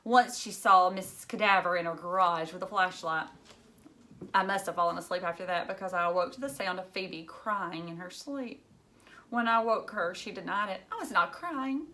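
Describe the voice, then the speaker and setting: A woman's voice: on the low side, steady tone, moderate pace, sharp tone. A woman reading a children's novel aloud expressively, like a teacher narrating to pupils.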